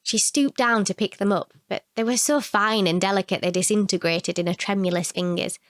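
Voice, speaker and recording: Woman, medium pitch, expressive story narration, scripted, dry sound with no room. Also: a little fast, no room sound. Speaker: narrator, short story performer.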